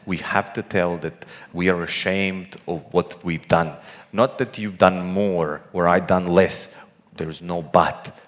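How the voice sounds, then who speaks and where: A man's voice: sits low, fairly even intonation, slow. A man speaking earnestly and emphatically on a panel, repeating phrases for weight. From Montenegro. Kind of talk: earnest public panel speech. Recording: echoey hall with long reverb.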